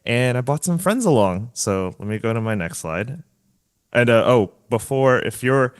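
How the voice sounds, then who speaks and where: A man's voice: lowish voice, no room sound, studio quality. A man hosting a casual online game workshop over a video call, upbeat and playful, laughing often. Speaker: community manager, workshop host.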